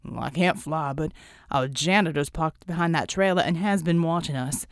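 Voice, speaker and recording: A woman's voice: low-pitched, normal speed, dry recording, studio quality. A woman voicing a stern, by-the-book male superhero officer with a formal, firm and reproachful manner in an audiobook. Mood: brisk, commanding.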